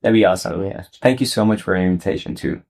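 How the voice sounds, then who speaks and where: A man with a deep, low-pitched voice speaks as a musician guest on a radio show. He answers casually and conversationally, as in an informal studio interview. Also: quick pace, a little mellow.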